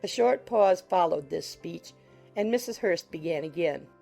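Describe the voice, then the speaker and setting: A woman's voice: lowish voice, animated, measured pace, gravelly, warm tone. A woman reading scripted literary narration as an audiobook narrator. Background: music.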